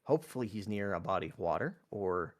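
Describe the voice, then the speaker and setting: Hesitant man with very lively pitch. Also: brisk. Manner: hesitant, thinking aloud. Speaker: player in a tabletop roleplaying game. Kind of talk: casual, improvised game play.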